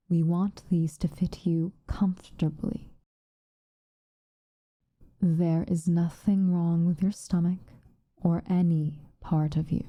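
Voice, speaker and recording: A woman playing a stern but protective witch in an ASMR roleplay, speaking softly and formally, often in a breathy whisper. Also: lowish voice, no room sound. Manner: measured, formal, gently commanding.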